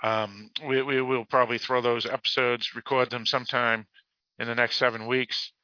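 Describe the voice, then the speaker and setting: A man with a Boston accent hosting a podcast in casual talk, halting and correcting himself as he reads out messages. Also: medium pitch, flat delivery, no echo, dull audio. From United States, Boston area. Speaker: podcast host.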